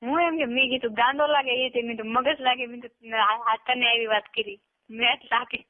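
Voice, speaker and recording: A woman with a Gujarati accent speaks over a narrow, tinny telephone line. Her voice is high, and she talks in a casual, colloquial way, as in a private chat. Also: fairly even intonation, brisk. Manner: colloquial, brief backchannels. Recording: tinny narrowband phone call recording.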